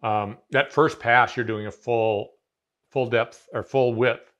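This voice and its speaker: A man's voice: mid-range voice, steady tone, slow pace, a bit bright, dull audio. Rambling middle-aged man in a garage workshop. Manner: rambling, many fillers and restarts. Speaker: CNC machine dealer. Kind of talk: casual explanation in a workshop conversation. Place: large home garage workshop.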